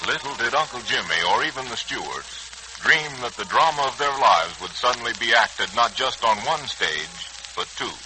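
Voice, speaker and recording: A man's voice: mid-range voice, lively intonation, normal speed. A man narrating a serial drama in formal, dramatic 1940s radio announcer style. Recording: noisy, hissing old radio recording.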